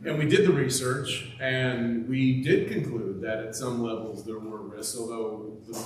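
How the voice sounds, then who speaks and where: Man on a panel with a loud voice, joking at himself, far off the mic in a really echoey hall. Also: mid-range voice, fairly even intonation, a little slow, a little hiss or hum. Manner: loud voice, self-deprecating asides. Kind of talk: conversational panel talk. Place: event hall at a hospital.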